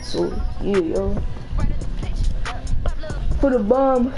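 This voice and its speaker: High-voiced young American man, casual and slangy. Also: extremely slow, creaky, mellow, soft background music. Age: 20s. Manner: slangy, repeats himself. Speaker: gaming YouTuber. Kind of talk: casual gameplay commentary.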